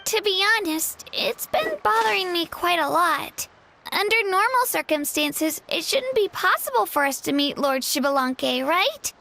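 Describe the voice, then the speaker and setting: A polite, gravelly-high young fisher. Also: female voice, expressive, measured pace, pushed and bright, a little hiss or hum, exaggerated voice. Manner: polite, thoughtful. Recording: game score playing behind.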